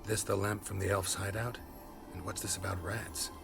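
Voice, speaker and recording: An actor playing a stoic veteran monster hunter in a video game, talking in terse, dry, sardonic lines. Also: male voice, low-pitched, little pitch movement, normal speed, creaky. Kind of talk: video game cutscene acting. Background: game soundtrack music.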